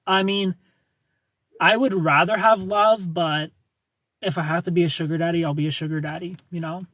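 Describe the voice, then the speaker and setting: A man's voice: high-pitched, fairly even intonation, moderate pace, fairly bright tone, no echo. A man in his thirties hosting a casual livestream, reading viewer comments aloud, joking about himself and laughing often. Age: 30s. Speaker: livestream host.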